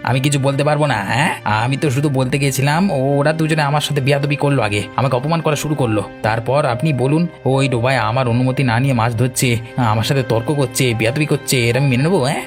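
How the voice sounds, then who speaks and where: A man's voice: medium pitch, fairly even intonation, fast talker, natural voice. A man playing a belligerent cartoon village bully, boastful, indignant and challenging. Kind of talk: dubbed cartoon dialogue. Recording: music bed behind the voice.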